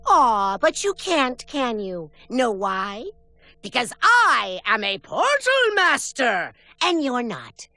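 Brief: pint-sized megalomaniac game villain, piercingly bright, wildly swooping, pompous and gloating. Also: male voice, extremely high pitch, wildly swooping pitch, slow pace, no room sound, full character voice. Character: small bald megalomaniac male villain sorcerer, boastful and petulant. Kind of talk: animated video game villain acting.

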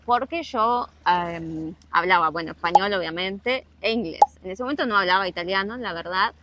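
A woman's voice: on the low side, moderate pace, background noise. A young Argentine woman with a Rioplatense accent, chatting casually to camera outdoors about working abroad, explaining with many asides. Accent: Rioplatense Spanish. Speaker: travel vlogger. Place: outdoors on rocks by the sea, then a harbor.

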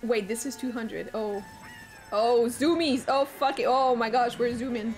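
Young french canadian girl streaming, bit high voice, swears a lot, faint game music behind. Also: measured pace. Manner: excitable, swears freely, hums to herself, bursts into exclamations. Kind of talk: casual live-stream chat while gaming.

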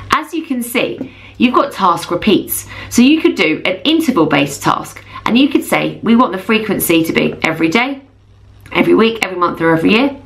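A woman in her thirties presenting a software tutorial, explaining plainly step by step. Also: on the low side, gravelly. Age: 30s. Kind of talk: instructional screen walkthrough.

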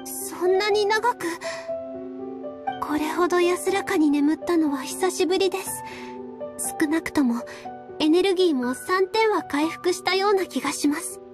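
Game casting: reserved young priestess, high soft voice, weary, courteous, slow delivery, music behind. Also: female voice. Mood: surprised, rested. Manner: soft, polite formal phrasing. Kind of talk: video game voice acting. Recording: background music under voice.

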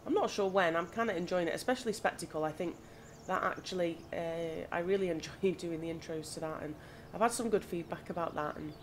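A woman with a lowish, slightly croaky voice chats easily while playing, musing aloud. Clear background noise hums under her masked-desk-mic recording. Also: a little fast, hardly any pauses. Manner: chatty, thinking aloud, relaxed. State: slightly croaky from hay fever. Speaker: gaming channel host. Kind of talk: casual gameplay commentary.

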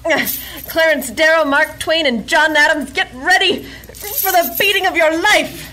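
A woman's voice: high voice, unhurried, pushed and bright, a little hiss or hum, low-quality recording. A woman playing a plucky, defiant young American heroine in a comic radio drama.

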